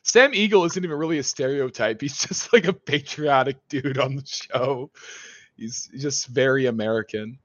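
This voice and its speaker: A man's voice: medium pitch, normal speed, fairly bright tone, no room sound, muffled recording. A young man in casual chat with friends, joking, laughing often and slipping into silly put-on accents.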